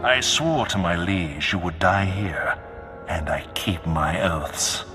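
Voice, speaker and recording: Game villain, aristocratic, pompous, sadistic, deep voice, prominent music. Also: male voice, animated, slow pace. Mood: scornful. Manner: pompous, menacing, formal. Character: cold aristocratic male villain, sadistic and haughty. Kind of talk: video game voice acting.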